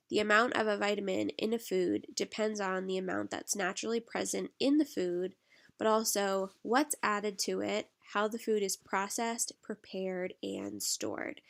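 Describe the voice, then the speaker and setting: A woman teaches a recorded slide lecture, her voice thick and stuffed up from allergies. Her mid-pitched voice has a gravelly edge, and she stops often on a drawn-out "um" as she explains. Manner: lots of "um" fillers, relaxed explaining. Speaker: instructor.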